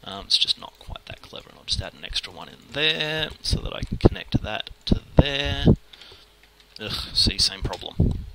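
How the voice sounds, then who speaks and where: A man with an Australian accent talking fast and casually through a screen-recorded modelling tutorial, muttering at the software as he works. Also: lowish voice, very animated, creaky, heavy background noise. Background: keyboard typing and mouse clicks.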